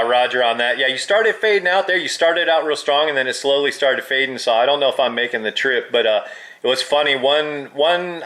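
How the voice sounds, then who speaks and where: A man's voice: mid-range voice, sharp tone. A man having a casual hobbyist chat as a radio operator, heard through a two-way radio. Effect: two-way radio transmission. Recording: crackly two-way radio audio.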